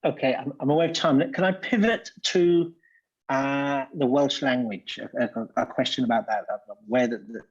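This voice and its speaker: Hesitant male moderator, muffled sound. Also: mid-range voice. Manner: hesitant, repeats words often. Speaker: panel chair. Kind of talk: moderating a panel discussion. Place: bookshop event room.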